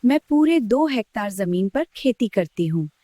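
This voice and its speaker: A woman playing a kindly older village farmer in a children's cartoon, speaking gently and clearly. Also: on the high side, very animated, normal speed, no echo, faint music behind. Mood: kindly, content. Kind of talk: scripted children's educational cartoon dialogue.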